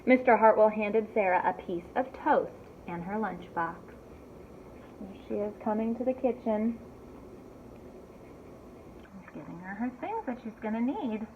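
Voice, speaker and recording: A woman reading a story aloud to young children in an animated teacherly way, pausing to ask them simple questions. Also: medium pitch. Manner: addresses young listeners directly with simple questions. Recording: thin phone-like audio with clear background noise.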